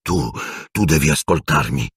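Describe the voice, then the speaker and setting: A man voicing a desperate, haggard male escaped convict in a storybook reading, hoarse and urgently hissing. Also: extremely low pitch, animated, moderate pace, fairly bright tone, no echo, studio quality, exaggerated voice. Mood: desperate pleading.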